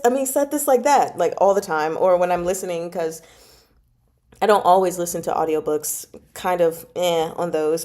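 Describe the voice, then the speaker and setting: Female channel host for casual live chat; medium pitch, giggly, chatty, animated delivery. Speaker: host of a book club channel and amateur audiobook narrator. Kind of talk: casual livestream chat, reading out and answering comments.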